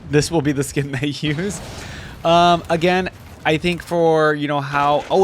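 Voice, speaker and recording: A man's voice: on the high side, slight background noise. A man giving casual, off-the-cuff reaction commentary on video game skins as a video host.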